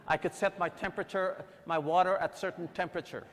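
Preacher from Guyana, a man with a higher-set voice, telling his story then building to fervor, heard in a reverberant hall. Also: steady tone, quick pace. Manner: builds from quiet storytelling to loud, fervent emphasis. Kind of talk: sermon.

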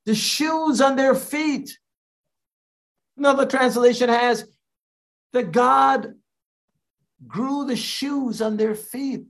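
A man from Guyana, an evangelist, preaching a sermon in a slow, deliberate way with emphatic rises. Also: very high-pitched.

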